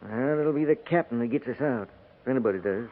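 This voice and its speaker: An actor playing a resigned frontier soldier with a rural American accent, dry and laconic, in a 1950s radio drama. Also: male voice, mid-range voice, expressive, fast talker, close to an everyday voice. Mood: wry, resigned. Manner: laconic, wry. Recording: tinny, band-limited, noisy 1950s radio recording.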